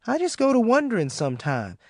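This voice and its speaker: A man's voice: very high voice, huge pitch swings, brisk, no room sound, clean studio sound, voice pushed up, exaggerated voice. A man voicing a timid, worried eighteen-year-old woman, speaking hesitantly. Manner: hesitant, soft-spoken.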